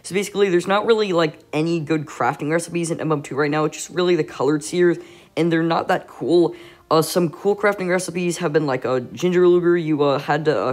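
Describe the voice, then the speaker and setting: A man's voice: fairly high pitch, fairly quick. A congested young man with a nasal voice, doing a casual gameplay voice-over for his channel, rambling along with many "uh" fillers. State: congested, stuffy nose. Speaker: gaming YouTuber.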